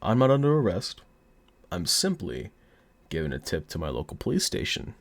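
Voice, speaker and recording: A man's voice: lowish voice, huge pitch swings, normal speed, creaky, exaggerated voice. A man playing a cocky, flirty, menacing mafia boss in an audio roleplay, with a put-on mobster accent and a smug, teasing drawl. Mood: smug, businesslike.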